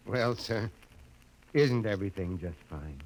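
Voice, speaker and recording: An actor playing a jovial, folksy older small-town father with a hearty, drawn-out delivery in a 1950s radio drama. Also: on the low side, full character voice, poor audio.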